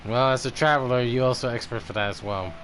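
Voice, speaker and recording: A man streaming a video game, commenting casually in a profane, excitable way as he plays. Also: medium pitch, quick pace, soft background music. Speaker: gaming streamer.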